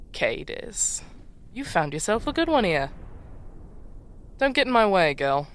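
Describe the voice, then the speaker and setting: A woman playing a fierce, commanding demon chieftain in a fantasy audio drama, speaking with imperious, deliberate menace and a wry edge. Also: mid-range voice, very animated. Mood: intrigued, stern. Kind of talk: fantasy audio roleplay acting.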